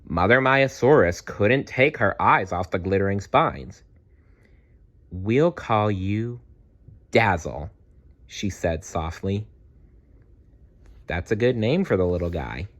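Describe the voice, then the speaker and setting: A man reading a children's storybook aloud in an expressive, unhurried way, adding small asides. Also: sits low, highs cut off. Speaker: children's book read-aloud narrator.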